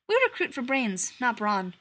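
Lady reading a lazy drawling colonel guy, dry, kinda bright voice. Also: very high-pitched, wildly swooping pitch, moderate pace, exaggerated voice. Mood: wry. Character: laid-back male air force colonel, drawling and dry.

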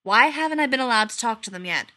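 Woman reading as a gruff, blunt senior agent. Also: very high voice, animated, normal speed, bright, forceful tone, voice pushed up, full character voice. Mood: angry.

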